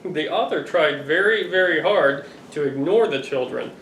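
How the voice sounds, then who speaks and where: A middle-aged man reading a picture book aloud to children, expressive and playfully exasperated. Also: on the high side, normal speed. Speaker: father reading to his children. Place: living room sofa, indoors. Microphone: camera a few feet away. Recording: roomy, distant home recording with noise.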